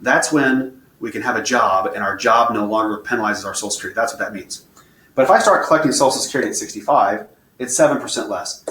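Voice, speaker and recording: A man's voice: on the low side, fast, fairly bright tone. A man, a financial advisor, explaining retirement rules step by step in a plain, repetitive way while answering viewer questions. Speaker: financial advisor presenting a webinar. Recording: roomy echo, faint room noise.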